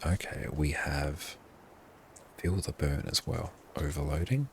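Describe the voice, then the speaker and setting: A man livestreaming his gameplay in a hushed, half-whispered voice, often thanking his viewers. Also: a bass voice, slow pace, gravelly, slight background noise.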